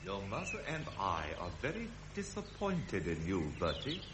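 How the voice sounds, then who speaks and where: A stern, exacting royal father, with a lowish voice and very varied intonation. He lectures pompously and formally, in an old radio play with light hiss. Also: huge pitch swings, normal speed. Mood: cold disappointment. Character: stern, exacting royal father, middle-aged, pedantic, disapproving. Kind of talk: 1970s British radio drama acting.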